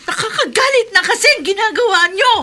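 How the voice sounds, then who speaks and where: Defiant young woman, piercing high voice, very bright, tearful outbursts, radio drama. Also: lively intonation, a little fast, exaggerated voice. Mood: anguished. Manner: impassioned, tearful protests.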